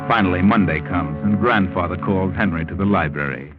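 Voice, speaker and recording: An actor playing an ominous, all-knowing narrator, telling a 1940s radio mystery dramatically. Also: male voice, low-pitched, fairly quick, close to an everyday voice. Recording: dull old 1940s radio recording. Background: loud music.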